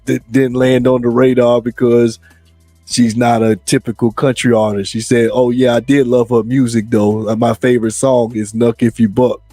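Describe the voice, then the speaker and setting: A man around forty hosting a casual group chat in animated, slangy talk. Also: mid-range voice, moderate pace, no room sound, soft background music. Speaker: show host.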